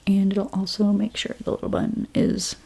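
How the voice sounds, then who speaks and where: A woman whispering in an ASMR role play as a hairstylist, gently talking through each step in a breathy, mostly unvoiced voice. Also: mid-range voice, completely flat delivery. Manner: gentle, unhurried, step-by-step personal attention. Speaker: ASMR creator playing a hairstylist.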